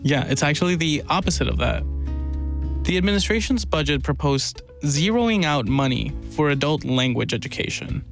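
A man's voice: on the high side, animated, normal speed, gravelly, soft background music. A man reporting on a conversational news podcast, explaining things in a lightly amused way.

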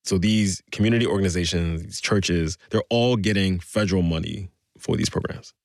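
A man co-hosting a conversational news podcast, reacting with animated, joking disbelief. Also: deep voice, normal speed, gravelly, no room sound, studio quality. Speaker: podcast co-host. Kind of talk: casual conversational chat.

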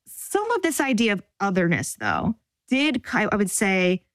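A woman's voice: medium pitch, huge pitch swings, dry recording. Thoughtful woman with a dry close voice. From Staten Island, New York. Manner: thoughtful, measured, in short phrases with pauses. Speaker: interviewee. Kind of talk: reflective personal storytelling.

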